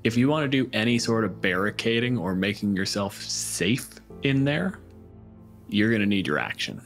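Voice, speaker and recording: A man's voice: on the low side, animated, moderate pace, a bit bright, soft background music. A man acting as game master, casually explaining rules and narrating the action of a tabletop game.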